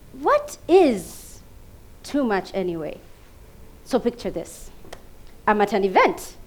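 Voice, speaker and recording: High-voiced Ugandan woman performing dramatically. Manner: emphatic, dramatic delivery with big swings between hushed and loud. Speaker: competition contestant performing a monologue. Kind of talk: theatrical comedic stage monologue.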